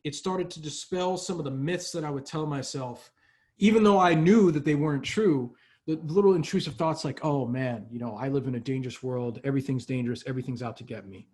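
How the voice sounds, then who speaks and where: A man's voice: medium pitch, brisk, darkish tone. A man speaking earnestly in a casual motivational talk, with frequent fillers and restarts. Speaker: workshop speaker.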